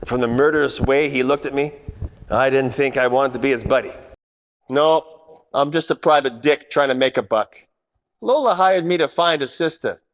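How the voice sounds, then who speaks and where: A man acts out a wry 1940s private eye narrating a radio noir parody. His mid-pitched voice rings with long hall reverb. He delivers deadpan, world-weary narration and hard-boiled quips. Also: normal speed, natural voice. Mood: wisecracking.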